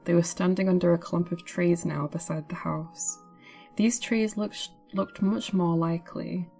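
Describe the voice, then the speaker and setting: A woman's voice: fairly low pitch, steady tone, moderate pace, mellow, soft background music. A woman streamer reading a novel aloud as storybook narration, with casual asides.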